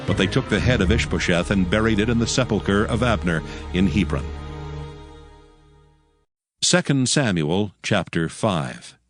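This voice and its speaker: A man with a fairly low voice narrates scripture formally. His delivery is measured and stately, solemn throughout, with prominent music underneath. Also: lively intonation.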